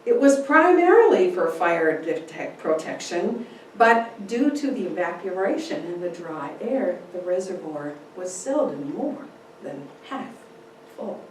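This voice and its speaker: A woman reads a story theatrically, taking her time and swinging her pitch expressively. She is heard from a distance in a strongly reverberant room. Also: big pitch swings. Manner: theatrical, deliberate. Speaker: speaker giving a dramatic reading. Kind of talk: dramatic first-person story narration. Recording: echoey room, distant mic, faint noise.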